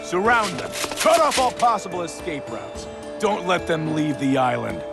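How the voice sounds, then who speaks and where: A man playing a gruff, suspicious imperial soldier with a gravelly voice in a fantasy game. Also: a little high, sing-song, moderate pace. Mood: commanding. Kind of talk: anime fantasy game voice acting. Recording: loud music behind the voice.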